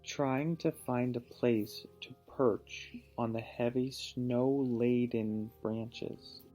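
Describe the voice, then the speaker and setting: A man reading a picture book aloud to a child, pausing to ask questions and give patient encouragement. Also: medium pitch, steady tone, warm tone, faint music behind, rough recording. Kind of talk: storybook read-aloud with teaching asides.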